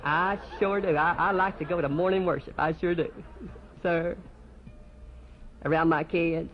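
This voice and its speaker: A man's voice: on the high side, fairly even intonation, measured pace. A man playing a folksy, sheepish churchgoer in a one-sided comic phone monologue, stammering, repeating himself and eagerly agreeing. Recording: dull lo-fi live recording, loud noise.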